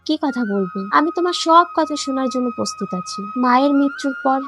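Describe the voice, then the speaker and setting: A woman's voice: high-pitched, huge pitch swings, fast talker, music in the background. A woman voicing an earnest, outspoken teenage village schoolgirl in a cartoon, speaking emphatically and full of questions. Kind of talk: Bengali cartoon voice acting.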